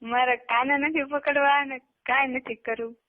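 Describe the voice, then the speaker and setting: Woman, twenties, high voice, casual and noncommittal, heard over a narrow telephone line. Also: fairly even intonation, moderate pace. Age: 20s. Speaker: call participant. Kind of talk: casual private phone chat. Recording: tinny phone call recording.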